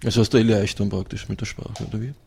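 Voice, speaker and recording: A man's voice: lowish voice, steady tone, very fast, creaky, mellow, husky. A man hosting a live community radio show, asking guests questions in a friendly way with many fillers. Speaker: radio host.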